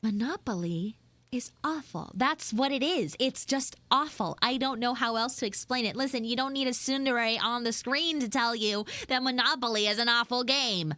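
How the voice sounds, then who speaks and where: A woman voicing a flustered, grudging tsundere frog girl in a live audio roleplay, speaking casually with halting stammers. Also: a little high, bright, forceful tone, no room sound. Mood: grumbling. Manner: halting, stammering, drawn-out delivery.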